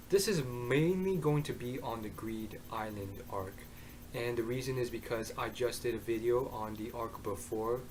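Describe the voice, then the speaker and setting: Young male vlogger, hoarse voice, rambling anime review, bedroom webcam, light hiss behind. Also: on the low side, poor audio. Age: young adult. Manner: rambling, many ums and uhs. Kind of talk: casual vlog review. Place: bedroom, indoors. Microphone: webcam at desk.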